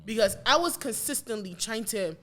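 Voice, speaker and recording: A woman's voice: a little high, animated, sharp tone. A woman in her late twenties talking candidly in a casual discussion, often restarting her sentences. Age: late 20s. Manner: candid, talks in quick bursts with restarts.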